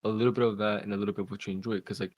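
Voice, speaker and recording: A young man in his twenties tells his story as a podcast guest over a call. He talks very fast in a lowish voice, rambling and leaning on "like". The sound is close and dry, with no room around it. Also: level tone, a little mellow, no echo. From Cuba, raised in Pennsylvania. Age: 20s. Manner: rambling, heavy use of "like". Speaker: podcast guest, content creator. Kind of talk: casual storytelling. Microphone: podcast call mic.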